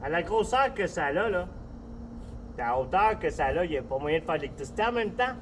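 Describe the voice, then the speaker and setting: Halting Quebec man, slightly high voice. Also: sing-song, a little hiss or hum. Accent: Quebec French. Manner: halting, repetitive, informal with swearing. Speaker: vlogger talking with an AI assistant. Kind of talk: casual rambling chat.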